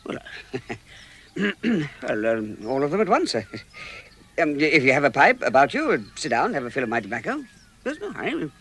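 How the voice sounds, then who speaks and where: A genial, fussy hobbit in an old radio drama. The voice is bright and rather high, swooping wildly as he stammers through polite, flustered protests. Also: big pitch swings, moderate pace, long pauses, breathy edge, full character voice. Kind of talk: vintage British radio drama acting.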